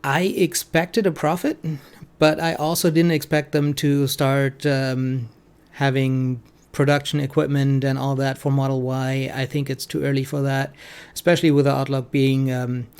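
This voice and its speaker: A man giving informal, analytical financial commentary as a host, speaking in a halting way with frequent ums. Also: medium pitch, level tone, darkish tone, smooth. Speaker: financial commentator hosting a stock analysis show.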